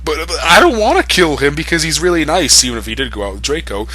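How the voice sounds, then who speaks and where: Man acting angry teenage goth girl, melodramatic, indignant, raised pitch, bright forceful tone, comic story reading. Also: sing-song, quick pace, breathy edge, heavily acted. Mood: upset, whiny. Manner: dramatic, indignant outbursts. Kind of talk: comic dramatic fan fiction reading.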